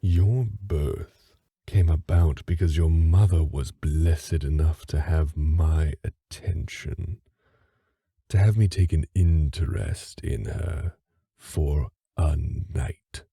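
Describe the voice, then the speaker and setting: A man's voice: a bass voice, slow pace, creaky, mellow, husky, no room sound, clean studio sound, full character voice. A man playing a haughty, aristocratic fae father in an audio drama, speaking formally and with cold condescension. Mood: haughty contempt. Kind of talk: dramatic audio roleplay acting.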